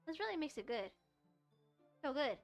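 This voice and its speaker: A woman voicing a feisty, defensive high school anime girl in a visual novel, huffy and emphatic. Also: big pitch swings, normal speed. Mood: defensive, enthusiastic. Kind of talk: visual novel character read aloud. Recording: music behind the voice.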